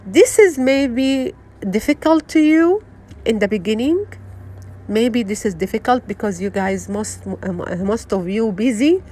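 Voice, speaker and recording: A middle-aged woman from Syria with a Syrian Arabic accent, giving an unscripted motivational talk, earnest and halting, full of fillers and restarts. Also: on the high side, lively intonation, dark tone. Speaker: wellness vlogger.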